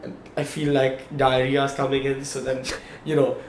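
A man's voice: mid-range voice, moderate pace. A young man, a podcast co-host, telling a long story in casual chat and checking in with his listeners as he goes. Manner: animated storyteller, checks in with "okay? right?". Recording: echoey room, faint background hum.

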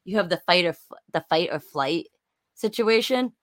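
A woman with a raspy voice, chatting casually as a co-host and peppering her talk with "like". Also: lowish voice, expressive, moderate pace. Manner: chatty, lots of "like" fillers and laughs. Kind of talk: casual chat.

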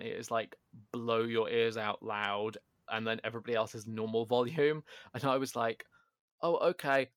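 A man co-hosting a podcast in casual chat, loud and animated, often poking fun at himself. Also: mid-range voice, vocal fry, no echo, studio quality. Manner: animated, self-deprecating, loud. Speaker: podcast co-host. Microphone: home podcast microphone.

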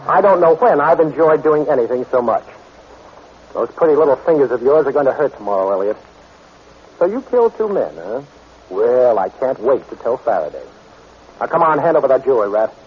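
An actor playing a suave, unflappable amateur sleuth in a 1940s radio detective drama, with a husky voice and a wry, mock-polite manner. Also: male voice, mid-range voice, expressive, fairly quick, slight background noise, natural voice. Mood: gloating. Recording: dull old radio recording.

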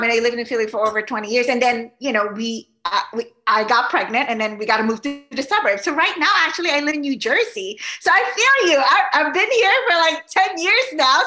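A woman's voice: sits high, sharp tone. A woman from the Philippines hosting a casual interview, chatty and bubbly with lots of fillers. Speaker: podcast host.

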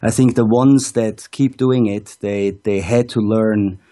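A man, a ski school director, calling in to tell his story calmly and step by step. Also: lowish voice, a little slow. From Austria. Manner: steady, methodical storytelling with "um"s. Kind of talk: casual interview answers. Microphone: calling in over an online video call.

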